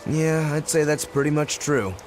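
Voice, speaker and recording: Um ator interpretando um espadachim descontraído e alegre, de fala franca e brincalhona. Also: male voice, on the high side, normal speed, soft background music, lightly acted. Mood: casual. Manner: casual, blunt, playful. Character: laid-back cheerful male swordsman. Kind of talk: game dub acting.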